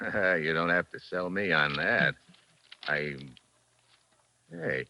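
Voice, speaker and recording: An actor playing a tough, wry American investigator in a clipped, matter-of-fact 1940s radio drama style. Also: male voice, low voice, slow, vocal fry, sharp tone, slight background noise, full character voice. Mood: wry, relaxed. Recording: muffled vintage 1940s radio recording.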